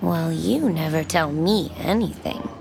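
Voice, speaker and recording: A woman playing a warm, flirty, persistent diner waitress in a naturalistic audio drama, with a folksy, coaxing way of talking. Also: low voice, unhurried, creaky, music in the background. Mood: concerned.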